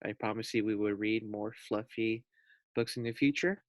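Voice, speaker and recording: A man reading a children's storybook aloud as a teacher, with deliberate, clear phrasing. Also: on the low side, fairly even intonation, no echo.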